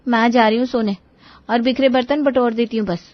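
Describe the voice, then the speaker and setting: A sly young daughter-in-law, put on by a woman storyteller, pleading and complaining in a high, mock-innocent voice. It is a family storybook role, recorded dry and somewhat muffled. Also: steady tone, fairly quick, no room sound, natural voice. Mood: whiny excuses. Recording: dull lo-fi recording.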